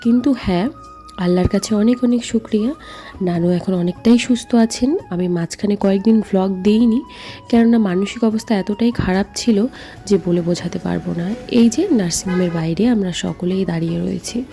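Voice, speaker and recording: A woman's voice: medium pitch, moderate pace, mellow. A woman narrating a home vlog voice-over, chatting directly to her viewers. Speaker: vlogger. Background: faint music.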